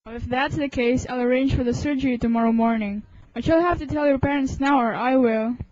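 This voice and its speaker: A woman's voice: high-pitched, a little fast, a little mellow, natural voice. A young woman playing a brusque, evasive hospital doctor in a student radio drama. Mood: businesslike. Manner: brusque, dismissive. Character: curt, evasive, irritable hospital eye doctor. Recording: muffled amateur recording, faint noise.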